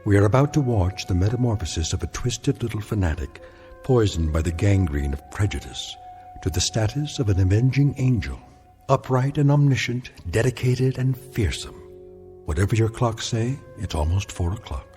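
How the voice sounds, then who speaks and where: A man narrating a radio drama in a measured, solemn, ominous manner, as the grave teller of eerie tales. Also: on the low side, lively intonation, dark tone, faint music behind, natural voice.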